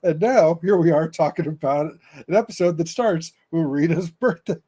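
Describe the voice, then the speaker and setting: Slightly higher-voiced man hosting a podcast. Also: moderate pace, warm tone, breathy edge. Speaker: podcast host. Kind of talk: casual interview chat.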